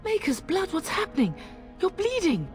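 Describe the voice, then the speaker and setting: A woman's voice: extremely high pitch, wildly swooping pitch, moderate pace, soft background music, heavily acted. An actress playing a middle-aged noble mother in a video game, fierce, devoted and urgent, with emotional delivery. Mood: alarmed, urgent. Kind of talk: dramatic video game acting.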